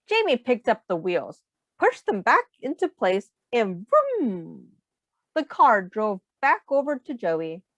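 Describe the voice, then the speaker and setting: A woman reading a children's storybook aloud in an expressive, simple teacher's manner, pausing to put questions to young listeners. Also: on the high side, dry recording, lo-fi sound.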